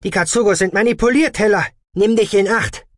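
A frantic, panicky starship technician, performed by a male narrator. He shouts urgently, his pitch jumping high. The recording is dry, in the manner of a science fiction audiobook. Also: fairly quick, no echo. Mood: urgent warning.

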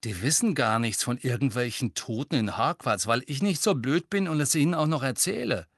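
A man's voice: medium pitch, animated, a little fast, no room sound, studio quality. A man voicing an easygoing teenage boy in an audiobook, casual and offhand. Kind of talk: audiobook character voice.

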